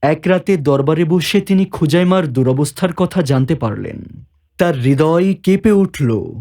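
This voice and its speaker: A man's voice: fairly high pitch, expressive, measured pace, warm tone. A man narrating a scripted story as its narrator. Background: background music.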